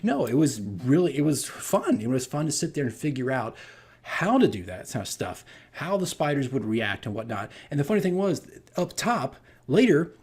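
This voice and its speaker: A man telling a casual, animated story as a game master on a vlog, rambling and repeating his phrases. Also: mid-range voice, normal speed, husky. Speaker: tabletop game master recounting his campaign.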